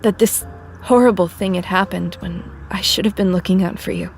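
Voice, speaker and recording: A woman's voice: medium pitch, moderate pace, faint music behind, lightly acted. A woman playing a dutiful elder sister and town official in an audio drama, firm and earnest. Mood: pleading.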